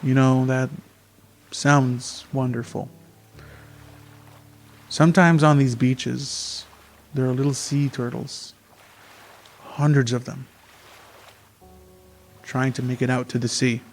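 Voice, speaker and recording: A man acts a young taxi driver in an amateur radio play, content and soothing. He talks slowly and haltingly at a medium pitch, with faint music behind him. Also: fairly even intonation. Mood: gentle, reflective. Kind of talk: amateur radio theatre acting.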